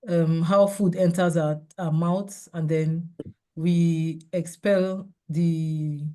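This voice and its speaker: A hoarse woman with a cold gives a measured, explanatory seminar over a video call. Her voice is low and mellow, and she pauses to clear her throat. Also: fairly even intonation. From Nigeria. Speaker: seminar speaker. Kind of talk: online health talk with slides.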